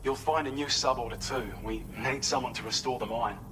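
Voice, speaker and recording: An actor playing an earnest, helpful male researcher in a video game, giving a polite, measured, explanatory briefing. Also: mid-range voice, noisy background, natural voice. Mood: earnest, businesslike.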